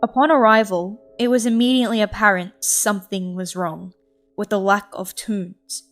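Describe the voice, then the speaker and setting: A woman's voice: mid-range voice, steady tone, moderate pace, a bit bright, soft background music. A woman reading scripted fiction aloud as the story's narrator.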